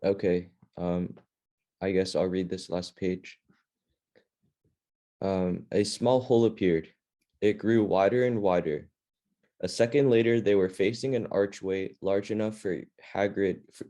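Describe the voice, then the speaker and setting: A man's voice: low-pitched, a little slow, warm tone. A man leading a reading club, reading a children's fantasy novel aloud in a plain, informal way.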